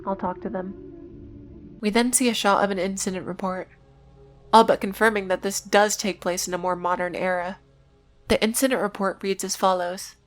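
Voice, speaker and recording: Woman, video essay narrator, scripted but informal, mid-pitched voice, faint music behind. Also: fairly even intonation, a little fast. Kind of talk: scripted narration summarizing a horror web series, casual asides.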